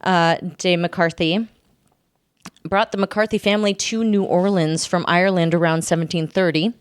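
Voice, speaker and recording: A woman podcast host with a smooth, clear voice in a close, dry recording. She chats casually and tells her story in an informal, joking manner. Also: lowish voice, steady tone, normal speed, no room sound. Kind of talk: casual chat with storytelling from notes.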